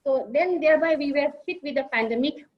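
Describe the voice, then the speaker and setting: A woman giving a slide presentation as a nurse leader, halting often with fillers and restarts. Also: high-pitched. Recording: dull lo-fi online audio.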